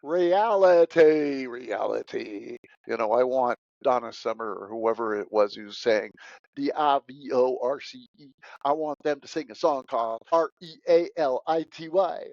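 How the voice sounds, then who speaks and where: A man's voice: mid-range voice, measured pace, gravelly, a little mellow, no room sound. A man who is a podcast guest, speaking in an emphatic, preachy way and repeating his key words. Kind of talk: interview talk. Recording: dry, dull lo-fi audio.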